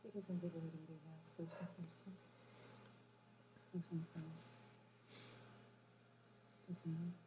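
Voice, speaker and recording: Low-voiced, poised corporate aide. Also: female voice, flat delivery, unhurried, long pauses. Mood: cool, courteous. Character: poised, coolly courteous female corporate aide in science fiction. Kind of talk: film drama dialogue. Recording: noisy film audio from a TV.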